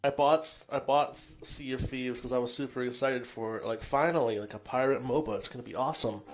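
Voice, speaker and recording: On dull, lo-fi voice chat audio, a man chats casually during a tabletop game. His pitch is average for a man. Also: a little fast.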